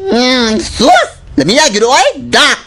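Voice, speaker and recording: A man's voice: extremely high pitch, wildly swooping pitch, takes it slowly, noisy background, exaggerated voice. An actor playing a rowdy, whooping man in a radio action drama, with a Cebuano Filipino accent. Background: loud music.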